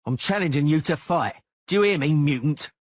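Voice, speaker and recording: A man voicing a boastful, hot-headed young swordsman who jeers and shouts challenges. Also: mid-range voice, very animated, moderate pace, breathy edge, dry recording, pitched above the performer's own voice. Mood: shouting. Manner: loud, jeering, challenging.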